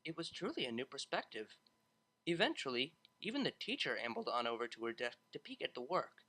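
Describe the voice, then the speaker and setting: A storybook narrator with a bright voice at a middle pitch. The delivery is scripted and lively, with plenty of movement in the melody. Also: fairly quick. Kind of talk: scripted audiobook narration of fan fiction.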